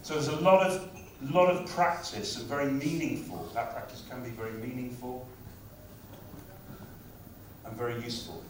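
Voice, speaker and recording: A man giving a conference talk on language teaching, speaking in a lively, conversational way. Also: mid-range voice, moderate pace, pauses often, a little hiss or hum. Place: conference hall. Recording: very echoey hall, far from mic.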